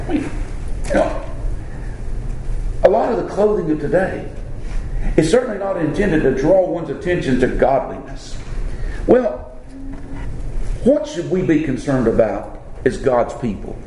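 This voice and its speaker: A man's voice: high voice, dark tone, reverberant, slight background noise, rough recording. A man from the southern United States with a Southern accent, preaching a sermon in a folksy, conversational way with many fillers. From born South Alabama, grew up North Florida.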